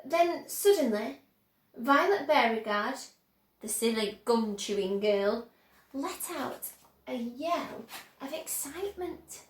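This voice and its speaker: A woman reading a children's book aloud with expressive, dramatic emphasis. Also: a little high, slow pace. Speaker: storyteller. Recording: echoey room.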